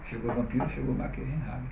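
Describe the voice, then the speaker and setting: A middle-aged man, telling stories in an interview in a chatty way, often tagging his sentences with a question. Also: medium pitch. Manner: chatty, frequent "né?" tags, restarts. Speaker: interviewee, publisher. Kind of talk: relaxed conversational storytelling. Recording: roomy, distant mic, faint noise.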